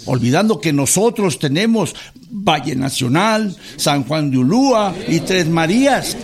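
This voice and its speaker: Slow, impassioned political orator. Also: male voice, a little high, very animated, creaky, heavily acted. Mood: indignant. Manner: declamatory, emphatic. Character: impassioned male political club president giving a speech. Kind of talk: radio drama political oratory.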